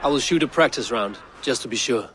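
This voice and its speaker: A man playing a confident, stoic Norse warrior in a video game, speaking in terse, measured phrases. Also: mid-range voice, a bit bright, slight background noise, lightly acted. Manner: terse, measured, self-assured. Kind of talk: video game cutscene acting.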